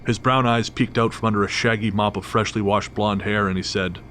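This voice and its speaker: A man narrating a scripted first-person horror story in a measured, literary storytelling manner. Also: lowish voice, steady tone, fairly bright tone, slight background noise.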